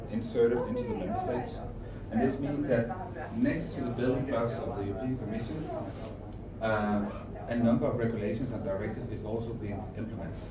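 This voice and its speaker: A middle-aged man from Denmark with a Danish accent, giving an informal conference presentation in short, halting, explanatory phrases. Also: mid-range voice. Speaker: trainer and consultant giving a presentation. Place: indoor event room with an audience. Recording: roomy, distant mic, narrow muffled sound, noisy.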